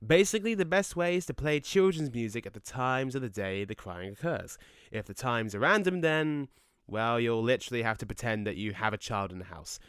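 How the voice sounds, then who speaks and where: A man playing a cheeky, excitable ghost in an audio drama, chatty and exclamatory in his delivery. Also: mid-range voice, brisk, clear, resonant tone, no room sound, studio quality, lightly acted. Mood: chatty, advising. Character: Sonny, excitable, cheeky male ghost, matey and mischievous.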